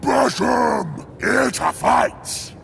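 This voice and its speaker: A man voicing a brutish, bloodthirsty orc warrior for a video game, bellowing short, rough war cries, eager for battle. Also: very high voice, lively intonation, very slow, fairly bright tone, a little hiss or hum, full character voice. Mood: bellowing, impatient. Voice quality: gravelly, rough.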